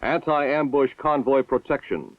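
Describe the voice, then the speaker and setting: A man's voice: mid-range voice, animated, dry recording. Man narrating a 1960s military film. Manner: measured, formal military delivery. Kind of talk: scripted documentary narration. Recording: tinny lo-fi 1960s film soundtrack.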